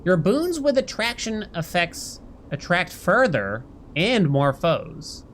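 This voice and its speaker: A man's voice: sits high, sing-song, slow, fairly bright tone, slight background noise. A man giving casual live gameplay commentary, thinking aloud, chatting with viewers and reading bits of on-screen text. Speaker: game streamer talking to live chat. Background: game music.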